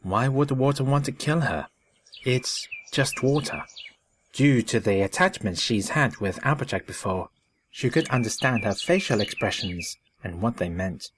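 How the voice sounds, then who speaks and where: A man's voice: medium pitch, expressive, moderate pace, a bit bright, slight background noise. A man narrating a scripted story in a storybook style.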